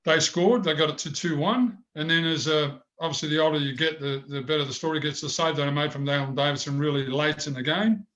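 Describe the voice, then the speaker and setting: A man's voice: fairly high pitch, fairly quick, hardly any pauses, fairly bright tone, poor audio. An older man with a big voice, telling rambling stories in an interview. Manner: rambling anecdotes with many restarts. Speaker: former goalkeeper, guest. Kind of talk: storytelling interview.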